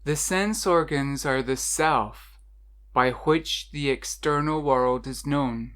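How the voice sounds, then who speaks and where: A meditation guide narrating a scripted guided meditation in a measured, instructional way with deliberate pauses. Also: medium pitch, a bit bright.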